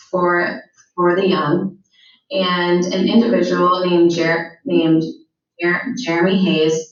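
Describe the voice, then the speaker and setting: Teen girl who stutters, echoey distant recording. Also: on the low side. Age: teens. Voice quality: stutters, with repeated words and fillers. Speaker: student speaker. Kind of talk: school presentation. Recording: very echoey, distant, dull sound.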